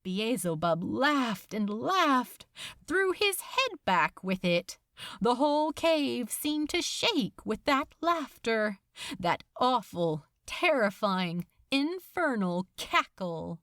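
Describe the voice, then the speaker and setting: A woman reading fiction aloud as a narrator, in a theatrical, intense and deliberate manner. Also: a little high, big pitch swings, a bit bright, no echo, studio quality. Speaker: podfic narrator. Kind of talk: dramatic scripted fiction narration.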